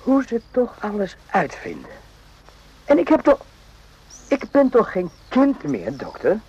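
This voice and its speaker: Chatty randy young army lad, jokey rambling, voice swoops around, lo-fi old radio drama. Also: male voice, high voice, huge pitch swings, unhurried, darkish tone, breathy edge, exaggerated voice. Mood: bashful, confiding. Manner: rambling, jokey, eager, confiding. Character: chatty, naive, randy young army soldier, former circus hand. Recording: muffled lo-fi old radio recording.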